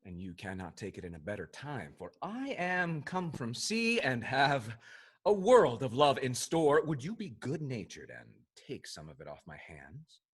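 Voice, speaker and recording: A man acts out a rakish sea captain in a period stage comedy. He is boisterous and flirtatious, with a husky, bright voice that leaps up and down and takes its time over each teasing phrase. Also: medium pitch, very animated. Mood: seductive. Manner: theatrical, swaggering delivery with hesitant teasing pauses. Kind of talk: Restoration comedy stage acting.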